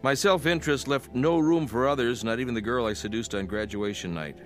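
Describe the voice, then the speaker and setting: A male actor as a regretful narrator giving a scripted, confessional testimony in a measured way. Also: medium pitch, faint music behind, close to an everyday voice. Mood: regretful, reflective. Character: regretful older narrator recalling his sinful youth.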